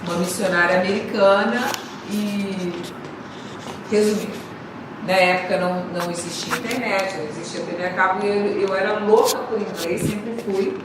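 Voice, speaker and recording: A woman's voice: mid-range voice, steady tone, normal speed. Woman, Brazilian accent, hesitant testimony, far from mic, roomy echo. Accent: Brazilian Portuguese. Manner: hesitant, repeats words. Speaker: class participant. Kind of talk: personal testimony in a church class. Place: church classroom. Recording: echoey room, distant mic, background noise.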